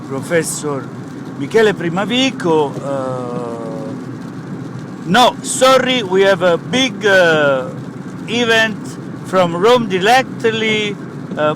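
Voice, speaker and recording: An Italian-accented man in a comic sketch, hosting a mock interview in halting English packed with "uh". His voice sits fairly high. Loud street traffic runs behind him. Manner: hesitant, full of uh fillers.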